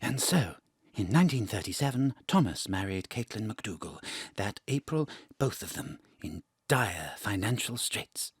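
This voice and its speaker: An actor playing a formal, measured radio broadcaster presenting in an old-fashioned style. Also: male voice, on the low side, big pitch swings, husky, no echo, clean studio sound, full character voice.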